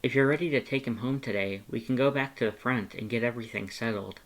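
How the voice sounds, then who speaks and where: A man voicing a gentle, tentative animal shelter worker in a story, speaking softly and politely with some hesitation. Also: mid-range voice, fast talker, close to an everyday voice, poor audio. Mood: sincere. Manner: soft-spoken, hesitant, polite.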